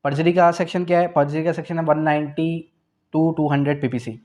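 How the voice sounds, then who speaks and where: Higher-pitched Pakistani man lecturing. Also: fairly even intonation, fairly quick, a little mellow. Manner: didactic, asks and answers own questions; repeats key phrases for emphasis. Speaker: law teacher. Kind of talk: exam revision lecture.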